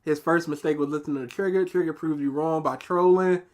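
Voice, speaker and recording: A woman's voice: sits low, fairly even intonation, brisk, a little mellow. A woman with a light, nasal voice co-hosting a casual reaction commentary, arguing her points and getting exasperated. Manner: argumentative, gets exasperated. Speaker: co-host reacting to a video.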